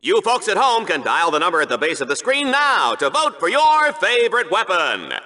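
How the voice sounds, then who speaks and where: A man's voice: high voice, huge pitch swings, moderate pace, bright, forceful tone, exaggerated voice. An actor playing a vain, goofy male game-show commentator with a showy, bombastic, smug delivery for a video game. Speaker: sports show announcer.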